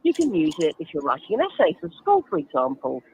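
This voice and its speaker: A woman's voice: deep voice, huge pitch swings, fast, a little mellow, a little hiss or hum, low-quality recording. A woman giving explanatory interview answers as a guest, instructive and emphatic, with everyday examples. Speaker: guest author and reading advocate.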